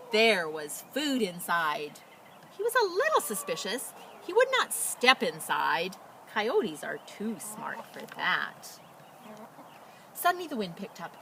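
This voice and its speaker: A woman reading aloud as a children's storyteller, with expressive, dramatic emphasis and casual delivery. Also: on the high side, a little hiss or hum. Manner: animated, dramatic emphasis and drawn-out words.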